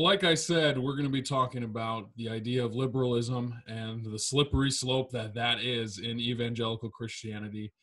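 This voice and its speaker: A man's voice: medium pitch, a bit bright. Friendly man hosting a podcast. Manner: upbeat, friendly welcome, some fillers. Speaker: podcast host. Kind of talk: casual podcast chat. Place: home study with bookshelf. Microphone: large podcast mic on stand.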